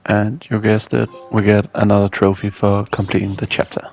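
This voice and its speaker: A man's voice: lowish voice, level tone, moderate pace, darkish tone, soft background music, low-quality recording. A man narrating a scripted video game walkthrough as a guide.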